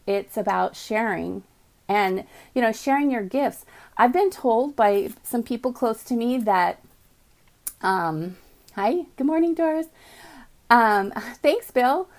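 A woman hosting a live morning podcast from her home office, chatty and upbeat, greeting viewers by name, with a voice she calls calming, on a computer mic. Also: a little high, measured pace. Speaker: podcast host. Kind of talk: casual live video chat.

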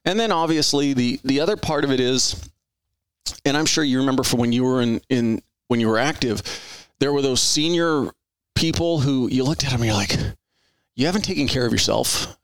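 A middle-aged man hosting a podcast in an earnest, rambling way, circling back over his own words. He has an average-pitched voice. The sound is close and dry, with no room echo. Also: a little fast, clean tone. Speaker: podcast host. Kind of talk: conversational interview.

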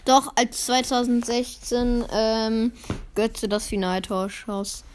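A woman's voice: fairly high pitch, steady tone, takes it slowly, slight background noise. A woman chatting casually as a podcast co-host, terse and hesitant. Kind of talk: casual chat.

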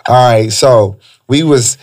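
A man's voice: mid-range voice, slow pace, background noise. A man hosting a casual podcast interview, teasing his guest and using loose slang. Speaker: podcast host.

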